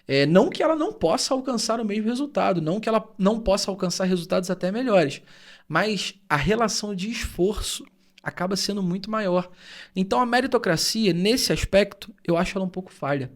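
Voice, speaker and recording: Earnest high-voiced man. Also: animated, fairly quick. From Rio de Janeiro state, Brazil. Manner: earnest, thoughtful, explains at length. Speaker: podcast co-host. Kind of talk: reflective podcast conversation.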